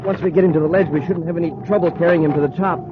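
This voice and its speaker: An American man playing an excitable, wide-eyed young space cadet sidekick in a 1950s radio adventure. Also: a little high, rapid-fire, close to an everyday voice. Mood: relieved. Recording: tinny, noisy old radio recording.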